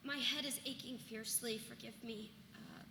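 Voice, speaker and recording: A noisy, reverberant hall carries a woman acting a tired, exasperated young mother of colonial times. Her voice is high, and she speaks frankly in modern, casual phrasing as part of a staged play reading. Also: steady tone, normal speed, vocal fry. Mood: weary. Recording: echoey hall, noisy background.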